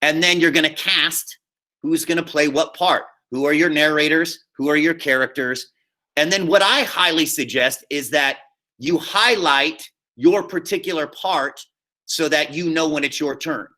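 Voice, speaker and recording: A man with a slightly high, very bright voice teaches a high school class online. He explains step by step in an informal way, speaking straight to his students and checking in often. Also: unhurried. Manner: informal, addresses students directly with frequent check-ins. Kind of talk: live online class instruction, screen-share walkthrough. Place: remote video class call.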